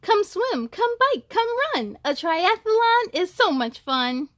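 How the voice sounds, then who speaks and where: A woman's voice: very high-pitched, big pitch swings, takes it slowly, a bit bright, dry recording, clean studio sound. A woman hosting a children's read-aloud, enthusiastic and speaking straight to young listeners, with storybook reading and chatty asides.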